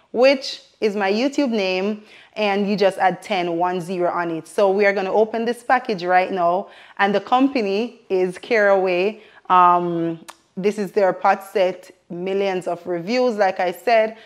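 A young woman vlogging from her home kitchen on a lapel mic, chatty and upbeat as she unboxes cookware and talks straight to her viewers. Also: mid-range voice. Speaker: lifestyle vlogger. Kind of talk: casual product unboxing. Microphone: clip-on lapel mic.

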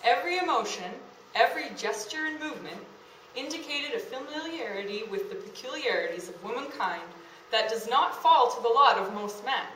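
A young adult woman giving a dramatic, measured reading aloud from a script. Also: on the high side, expressive, fairly bright tone. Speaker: dramatic reader. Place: small indoor lecture room. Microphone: camera a few metres away. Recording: distant camera mic, echoey room, faint noise.

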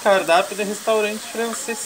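Southern Brazilian man chatting over loud sizzling. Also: sits high, fairly even intonation, normal speed. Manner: colloquial, jokey, explanatory. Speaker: home cook presenting a recipe. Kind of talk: casual cooking chat. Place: home kitchen beside a sizzling pan. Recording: loud frying sizzle and stirring noise.

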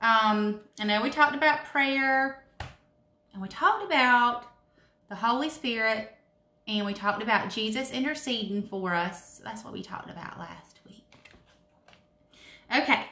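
Woman, high bright voice, children's story-time reader, unhurried teacherly delivery, roomy indoor sound. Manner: slow, careful, teacherly explaining with questions to listeners. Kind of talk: reading a picture book aloud to children, with asides. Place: indoors in a home room with wood cabinets and brick wall.

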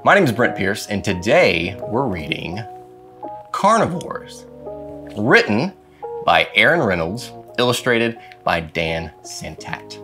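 Animated, low-voiced man reading a storybook. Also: takes it slowly, over music. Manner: dramatic, animated phrasing. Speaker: storyteller reading a children's picture book. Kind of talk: expressive storybook read-aloud. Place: indoor studio with plain dark backdrop.

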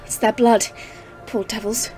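A woman's voice: a little high, fairly even intonation, a little slow, warm tone, close to an everyday voice. A woman playing a grave, awed professor in an audio play, speaking formally and intensely as a literary horror narrator. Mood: impassioned, awed. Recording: music under the voice. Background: dramatic music.

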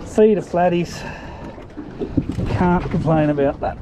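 A cheerful Australian man in his thirties, talking in a fairly high voice as he banters with a mate on a small boat at sea. Loud wind, water and engine noise from the open sea surround him. Picked up by a camera fixed on the boat. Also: slow. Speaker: fishing vlog host. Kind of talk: casual chat on a boat. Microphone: camera mounted on the boat. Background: loud wind, water and outboard noise.

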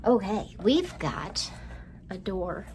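A woman's voice: mid-range voice, big pitch swings, slow, noisy background. A middle-aged woman hosting a children's storytime indoors, talking simply to young children with questions and exaggerated delivery. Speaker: children's librarian, storytime host. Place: indoor room, seen at a storytime backdrop.

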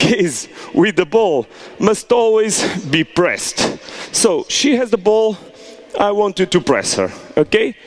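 A middle-aged man coaching players through a soccer drill in a large indoor hall, checking in with "okay?" as he goes. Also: sits high. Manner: animated instructions with frequent "okay?" check-ins. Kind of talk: on-field coaching demonstration.

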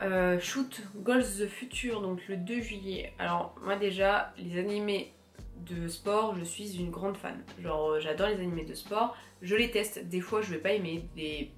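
A young woman with a cold and a stuffy nose, chatting casually to camera about what she plans to watch, with lots of fillers and restarts. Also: on the low side. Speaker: anime vlogger. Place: room with bookshelves. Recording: roomy echo, faint music behind.

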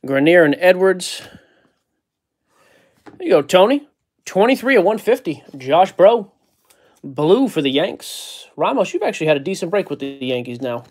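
A man's voice: high voice, very animated, normal speed, pauses often. A man hosting a card break, casually joking while he reads out player names from the packs. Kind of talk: casual card-opening chat. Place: indoor card-breaking table.

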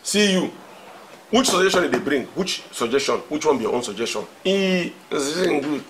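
A man's voice: a little high, expressive, fairly quick, fairly bright tone, slight background noise. A man with a Nigerian accent playing a blunt, questioning church underling. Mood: wondering.

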